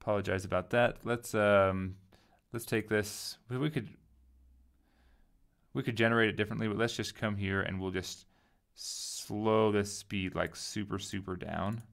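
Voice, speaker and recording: A man giving a casual software tutorial, informally thinking aloud as he goes. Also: fairly low pitch, fairly even intonation, unhurried, gravelly. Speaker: tutorial host.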